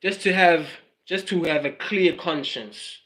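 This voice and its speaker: A South African man hosts a casual livestream, speaking in a somewhat high voice. He muses aloud and circles back over his own phrases, slipping Zulu words into his English. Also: a little slow, sharp tone, poor audio. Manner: musing, repeats phrases, mixes in Zulu words. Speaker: livestream host.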